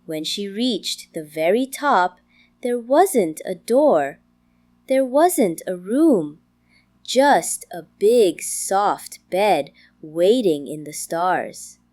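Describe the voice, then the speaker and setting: Female bedtime narrator, calm and soothing, extremely unhurried delivery, expressive melodic intonation. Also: mid-range voice. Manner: calm, gentle, unhurried with frequent pauses. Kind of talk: slow, soothing scripted bedtime storytelling for toddlers.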